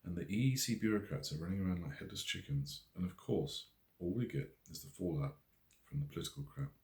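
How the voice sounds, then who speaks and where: A man's voice: low-pitched, expressive, a little fast, vocal fry, darkish tone, reverberant. A man voicing an earnest, mischievous woman farmer with a haughty, ranting manner in an audiobook story. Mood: wry, grumbling.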